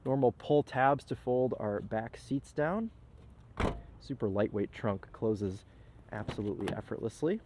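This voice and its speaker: A man's voice: medium pitch, lively intonation, measured pace, noisy background. A young man with a slightly nasal, stuffy voice from a cold, chatting upbeat through a car walkaround outdoors and inside the car. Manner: upbeat, chatty, filler-laden. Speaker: car reviewer. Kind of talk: casual walkaround car review. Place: outdoors in a parking lot, then inside a car.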